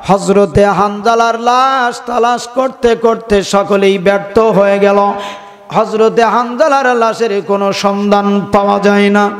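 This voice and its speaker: A man preaching a melodic, half-chanted storytelling sermon with impassioned, drawn-out calls to his listeners. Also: high-pitched. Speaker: Islamic preacher. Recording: long hall reverb, loud PA sound.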